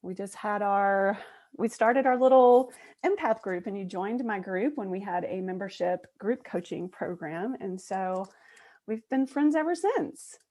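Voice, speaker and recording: A woman hosting her own podcast, introducing a guest and asking questions in an eager, excitable way. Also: unhurried. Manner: eager, excitable, with sudden upward jumps in pitch. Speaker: podcast host and empath coach.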